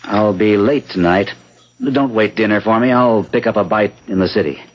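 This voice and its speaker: Man acting a young husband, lowish voice, secretive, curt, defensive, muffled 1970s radio drama. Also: moderate pace, a little hiss or hum, lightly acted. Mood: defensive, irritable. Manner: curt, guarded. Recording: muffled old radio recording.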